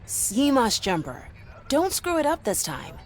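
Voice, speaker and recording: An actress playing a sardonic, tech-savvy young programmer in a video game, blunt and teasing with a dry manner. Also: female voice, sits high, very animated, unhurried, a little hiss or hum. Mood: exasperated. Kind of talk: video game character dialogue.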